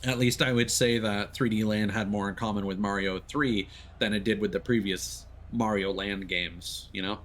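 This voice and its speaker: A man giving casual, halting gameplay commentary as a live streamer, explaining as he plays. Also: fairly low pitch, fairly even intonation, fairly bright tone, slight background noise. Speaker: live streamer playing a racing game. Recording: game music behind the voice.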